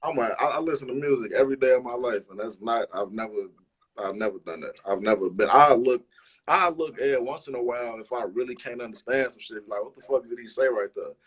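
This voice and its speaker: A man's voice: medium pitch, fast, slightly rough, highs cut off. A man chats casually as an interview guest, using heavy slang and profanity and repeating himself for emphasis. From Chicago, United States. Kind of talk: casual chat.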